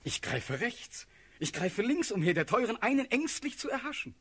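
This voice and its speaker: An actor playing a dreamy, passionate young prince and cavalry officer in a radio play, declaiming classical verse in a halting, rapt way. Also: male voice, extremely high pitch, very animated, a bit bright, slightly rough, exaggerated voice.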